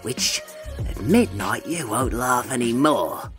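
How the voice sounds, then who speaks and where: A woman's voice: rumbling low, animated, slow pace, over music, heavily acted. A woman voicing a sly, vengeful schoolboy troublemaker in a comic fan dub. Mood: menacing.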